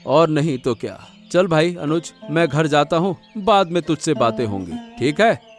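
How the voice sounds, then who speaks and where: Man acting an earnest village farmer, higher voice. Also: lively intonation, normal speed, close to an everyday voice. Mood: weary. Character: bereaved poor village farmer husband, earnest, stoical. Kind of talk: Hindi moral-story cartoon dub. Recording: music playing behind the voice.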